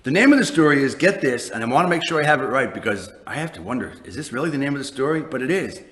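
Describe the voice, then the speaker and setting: A man's voice: mid-range voice, expressive, fast, a bit bright. A man introducing a story hour to young children, chatty and full of rhetorical questions. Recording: roomy, echoey recording.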